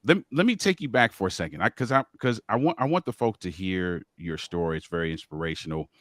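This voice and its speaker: A male podcast host who asks energetic questions, restarting his words often and summing up his guest's points. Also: low-pitched, fast talker, dry recording. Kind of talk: interview hosting.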